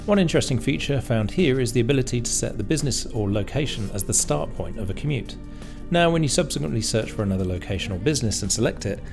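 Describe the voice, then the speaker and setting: A man reading scripted instructional narration in a measured, explanatory way, as a tutorial narrator. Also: on the low side, gravelly. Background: background music.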